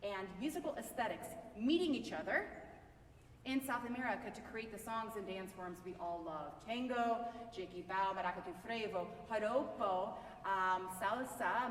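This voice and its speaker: Loud-voiced woman in an echoey hall. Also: mid-range voice, brisk, distant mic, slight background noise. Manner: chatty and explanatory, with "okay?" asides. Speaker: concert harpist. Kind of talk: spoken introduction at a live recital. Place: large reverberant shrine hall, indoors.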